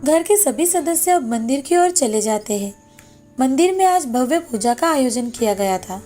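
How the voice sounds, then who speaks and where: A woman's voice: high voice, lively intonation, normal speed, mellow. A woman narrator reading a scripted moral fairy tale as storytelling. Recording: background music under the voice.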